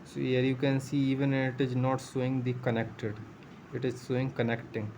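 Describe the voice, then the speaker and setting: A man's voice: mid-range voice, little pitch movement, moderate pace, darkish tone, a little hiss or hum. A man who is not a native English speaker, patiently explaining a coding tutorial step by step and thinking aloud as he goes. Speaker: programming tutor. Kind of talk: screen-share coding tutorial.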